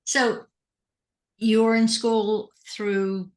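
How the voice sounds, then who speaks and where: A woman's voice: mid-range voice, level tone, extremely slow. A woman hosting an interview podcast, a physician herself, asking curious follow-up questions and giving short affirmations. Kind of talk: conversational interview.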